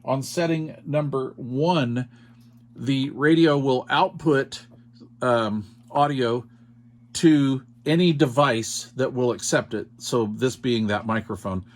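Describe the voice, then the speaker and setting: A man's voice: mid-range voice, slow. A man explaining things in an informal, step-by-step way, as in a hands-on tutorial, often checking in with the listener. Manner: informal, explanatory, checks in with "all right" and "okay". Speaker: radio product demonstrator.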